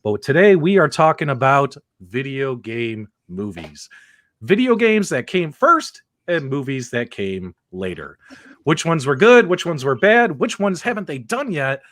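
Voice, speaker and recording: A man's voice: a little high, very animated, measured pace, a bit bright, no echo. A man hosting a live panel discussion in an upbeat manner, addressing the audience directly. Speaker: host.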